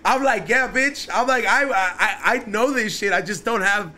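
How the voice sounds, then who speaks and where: A man, hosting a podcast in casual chat, loud and swearing, telling stories on a studio mic. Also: high-pitched, normal speed. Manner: loud, swearing storyteller who raises his voice for punchlines. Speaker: podcast host. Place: small studio room decorated with neon signs. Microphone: studio mic on boom arm, wearing headphones.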